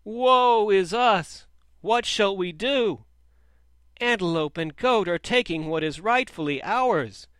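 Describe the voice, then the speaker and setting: A man voicing a frightened, angry crowd of sheep, loud and wailing. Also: fairly high pitch, no echo, voice pushed up.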